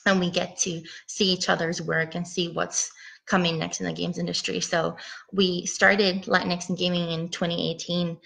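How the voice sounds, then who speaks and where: Woman, 30s, from Puerto Rico, talkative presenter on a headset call, flat low voice with a bright, gravelly edge. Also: normal speed, rough recording. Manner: chatty, rambling with ums. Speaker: guest speaker, game designer. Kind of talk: casual presentation talk. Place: home room with bookshelf. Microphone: headset mic on video call.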